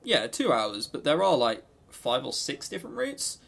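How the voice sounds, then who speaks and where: A man's voice: mid-range voice, very animated, moderate pace, vocal fry, fairly bright tone. A man co-hosting a podcast in casual, unscripted chat, rambling and jokey, with some swearing. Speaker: podcast co-host. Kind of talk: casual unscripted visual novel review chat.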